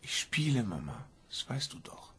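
A man playing an earnest, intense son absorbed in a game, husky and creaky, in a German radio drama. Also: mid-range voice, big pitch swings, moderate pace, pushed and bright, whispered. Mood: quiet, absorbed.

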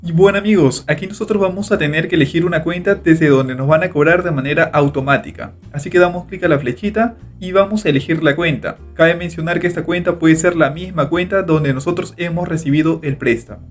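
Man, tutorial voice-over, slightly high voice, faint music underneath. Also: brisk, few pauses. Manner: steady instructional narration, repeats click steps. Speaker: tutorial host. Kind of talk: screen-recorded step-by-step tutorial. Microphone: voice-over over screen recording.

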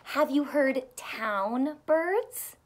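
High-voiced woman reading slowly to children. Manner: expressive, sing-song, questioning children. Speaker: teacher reading aloud to children. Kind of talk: picture-book read-aloud. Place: small furnished room indoors.

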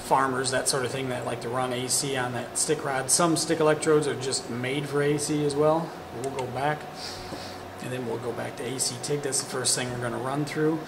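A middle-aged man giving a casual, plain-spoken, hands-on product demonstration. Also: medium pitch. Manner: informal, plain-spoken, practical. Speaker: product reviewer, welding demonstrator. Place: indoor workshop demo area with hanging curtains. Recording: echoey room, loud constant fan hum. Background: machine fan and ventilation noise.

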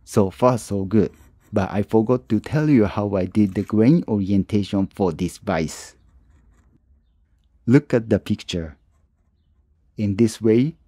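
A man narrating his own woodworking tutorial as a calm voice-over, with plain, slightly non-native phrasing. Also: fairly low pitch, dry recording, studio quality. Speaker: woodworking channel host.

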